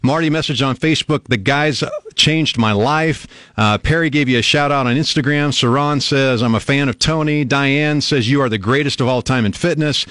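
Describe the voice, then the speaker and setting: A man's voice: mid-range voice, normal speed, a bit bright, dry recording. A man hosting a radio talk show, upbeat and easygoing as he thanks a caller and reads out listener messages.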